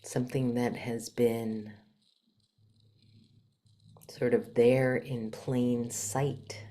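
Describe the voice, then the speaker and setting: A woman leading a guided meditation in a soft, gentle manner, with long pauses and some breathiness. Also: rumbling low, little pitch movement, slight background noise.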